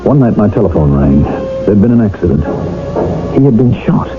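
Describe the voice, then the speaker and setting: An actor playing a middle-aged doctor who tells his tale in a measured, grave radio drama style. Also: lowish voice, big pitch swings, music in the background. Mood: grave, reflective. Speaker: narrator and doctor character. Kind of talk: radio drama acting, storytelling. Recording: dull lo-fi old radio broadcast.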